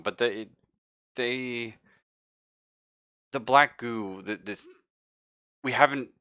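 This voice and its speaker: A man playing a hesitant scientist in a tabletop sci-fi horror roleplay, explaining haltingly with stammers and evasions. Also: deep voice, bright, forceful tone, no echo, clean studio sound. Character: evasive, halting male scientist, recently woken from cryosleep. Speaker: role-player in a sci-fi horror game. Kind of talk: improvised in-character tabletop roleplay.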